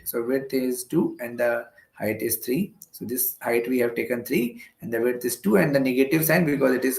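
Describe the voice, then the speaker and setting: Gravelly Indian-accented man teaching in a roomy space. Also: medium pitch, a little mellow. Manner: repeats phrases and checks with "okay". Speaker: physics teacher. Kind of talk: explanatory exam-prep lecture working through problems step by step. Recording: roomy echo.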